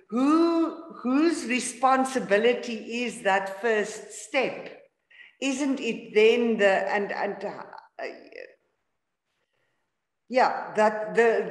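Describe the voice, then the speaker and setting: Brief: woman moderator, very slow and measured, echoey room sound, hesitant. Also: mid-range voice. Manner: slow and deliberate, hesitant fillers. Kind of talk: moderating a seminar, reading audience questions.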